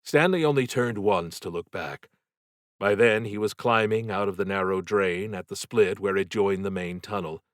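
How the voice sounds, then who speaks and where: A man narrating a novel in a dramatic, deliberate and suspenseful way, with expressive scripted delivery. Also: on the low side, no room sound, clean studio sound. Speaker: audiobook narrator.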